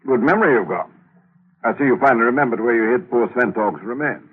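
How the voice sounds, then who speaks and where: A man's voice: medium pitch, fast, breathy edge, natural voice. An actor in an old-time radio thriller playing a sly, gloating gunman who taunts with folksy, mocking friendliness. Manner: folksy, taunting, leisurely menace. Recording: tinny narrow-band old radio recording.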